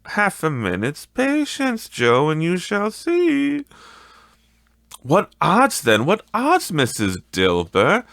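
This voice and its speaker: A man's voice: sits high, wildly swooping pitch, slow, gravelly, a bit bright, no room sound, studio quality, pitched above the performer's own voice, full character voice. A man voicing a brazen, grasping laundress woman in an audiobook story, with emphatic, sneering, colloquial speech.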